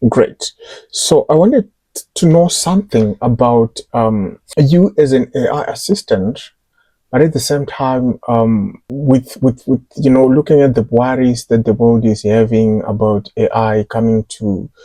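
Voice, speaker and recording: A male podcast host with a mellow, slightly husky voice. He asks casual questions in a hesitant way, full of fillers and restarts. The recording is dry. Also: medium pitch, unhurried, dry recording, poor audio. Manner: hesitant, with fillers and repeated words.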